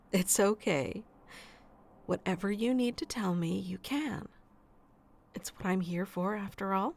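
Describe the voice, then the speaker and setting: A woman's voice: mid-range voice, slow, pauses often, a little mellow. A woman playing a sweet, nurturing AI relationship coach in an ASMR roleplay, speaking tenderly and reassuringly to one listener. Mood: gentle, reassuring.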